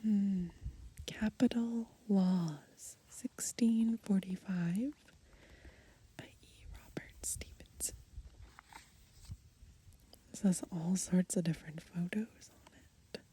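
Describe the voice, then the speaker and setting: Female ASMR host, extremely breathy whisper, slow and gentle, soft dark tone, browsing and reading aloud outdoors. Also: medium pitch, steady tone. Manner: gentle, unhurried, murmuring. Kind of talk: ASMR, soft murmured commentary and title reading. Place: outdoors on grass.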